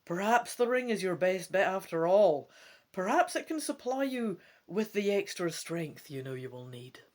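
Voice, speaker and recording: A Scottish woman hosting a gamebook play-through, mixing expressive, theatrical storybook reading with casual commentary. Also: mid-range voice, normal speed. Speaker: gamebook let's-play host.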